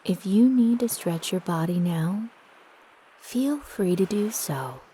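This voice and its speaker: A woman narrating a guided meditation in a soft, breathy, gentle and soothing manner with long pauses. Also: medium pitch, animated, a little hiss or hum.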